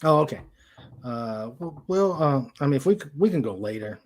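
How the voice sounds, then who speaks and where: A man hosting a casual livestream, speaking in a halting way as he searches for words. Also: medium pitch, expressive. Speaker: host of a live-streamed show.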